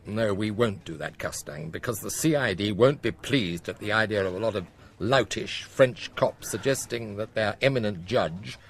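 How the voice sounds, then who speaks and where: An actor playing a brisk, sardonic police chief giving orders in a radio drama, with a dry, authoritative manner. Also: male voice, lowish voice, creaky. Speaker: senior police officer.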